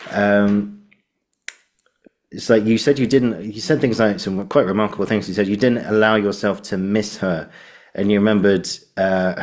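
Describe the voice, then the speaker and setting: Male podcast host, low-pitched, conversational, halting and roundabout in phrasing. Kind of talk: casual interview conversation.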